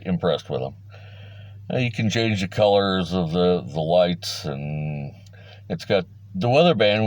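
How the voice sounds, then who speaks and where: A middle-aged man giving an informal product rundown as a hobbyist, in a plain-spoken, folksy, hesitant manner. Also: deep voice. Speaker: radio hobbyist host.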